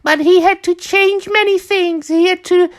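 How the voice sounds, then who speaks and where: A man mimicking a shrill whiny nitpicking fan. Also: fairly even intonation, moderate pace, a bit bright. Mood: mocking. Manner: mocking, shrill.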